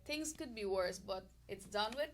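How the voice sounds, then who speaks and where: A woman's voice: medium pitch, expressive, normal speed, a little hiss or hum. A woman with a Caribbean accent hosting a casual health talk show, greeting viewers and reading their comments in an upbeat way. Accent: Caribbean English.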